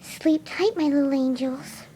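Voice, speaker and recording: A woman voicing a gentle, motherly winged pony in an amateur dramatic reading, soft and soothing. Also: sits high, a little slow, slight background noise. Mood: tender.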